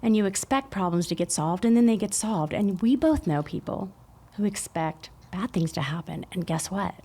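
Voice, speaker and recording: An upbeat woman in her fifties talks as a podcast guest at an ordinary pitch. She speaks articulately and reflectively, with a positive and confident tone. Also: lively intonation, moderate pace, mellow, breathy edge. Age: 50s. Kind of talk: casual chat.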